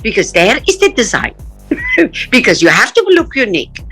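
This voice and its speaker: Animated middle-aged woman from Palestine, bright voice. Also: on the high side, normal speed, soft background music. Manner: animated, acts out quoted voices, laughs often. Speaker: interview guest. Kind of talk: casual storytelling in an interview.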